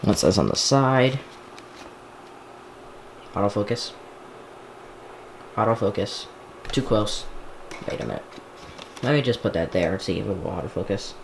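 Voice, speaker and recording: A man chatting casually and haltingly to himself as a hobbyist vlogger handling a device, recorded indoors. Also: fairly low pitch, expressive. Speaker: hobbyist vlogger unboxing gadgets. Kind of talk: casual unscripted chat while handling a device. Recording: echoey room, clear background noise.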